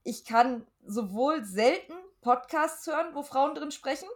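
A middle-aged woman with a fairly high voice. She chats casually as a podcast guest and speaks in a frank, emphatic way. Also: expressive, unhurried. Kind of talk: casual chat.

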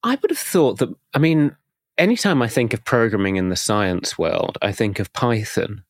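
A man hosting a casual tech podcast who keeps asking curious follow-up questions. His pitch moves a lot as he talks. The recording is dry, with little room sound. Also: expressive, moderate pace, no echo. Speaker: podcast host. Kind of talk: casual interview.

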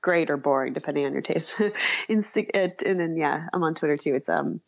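A woman being interviewed in casual conversation, talking haltingly and self-deprecatingly, often trailing off, with a creaky voice. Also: low voice, muffled recording, noisy background. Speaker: interview guest, author.

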